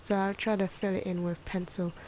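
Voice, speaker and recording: A soft-spoken, sometimes whispery woman giving unscripted, musing ASMR commentary as she draws, correcting herself as she goes. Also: medium pitch, flat delivery, fairly quick, slight background noise, rough recording. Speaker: ASMR artist narrating own drawing. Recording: clock and pen noises behind. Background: ticking clock, pen scratching.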